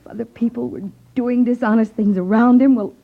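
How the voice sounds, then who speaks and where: An actress playing an indignant, bitter widow with impassioned 1950s radio acting. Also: female voice, fairly high pitch, fairly even intonation, fairly quick. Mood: bitter, pleading. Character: bitter, defiant widow accusing gangsters. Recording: dull 1950s radio recording.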